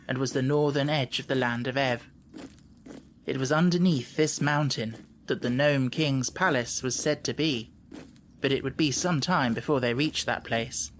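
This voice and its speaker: A man's voice: medium pitch, a little hiss or hum. A man narrating a children's story as a scripted audiobook reading.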